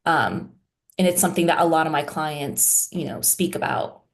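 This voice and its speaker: Lowish-voiced woman therapist, talks it through slowly, lots of "you know" and "right?". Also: creaky. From United States. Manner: thoughtful, many "you know" and "right?" fillers. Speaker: therapist guest. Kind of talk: reflective interview talk.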